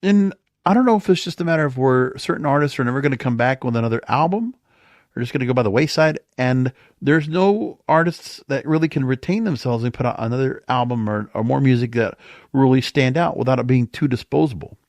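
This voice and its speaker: A man's voice: mid-range voice, brisk, a little mellow, no room sound, clean studio sound. A man hosting a podcast alone in a casual, conversational, rambling way, as if thinking aloud. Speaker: podcast host. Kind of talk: casual solo monologue. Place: home or small studio.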